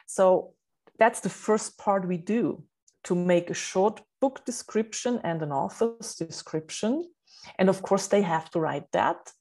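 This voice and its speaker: A woman's voice: mid-range voice, unhurried, mellow. A middle-aged woman whose first language is German, explaining her book coaching earnestly in a podcast interview, with many fillers and restarts.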